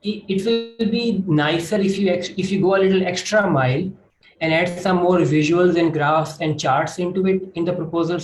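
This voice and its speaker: A man giving explanatory advice as a panelist on an online call. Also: a little high. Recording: echoey room on a call.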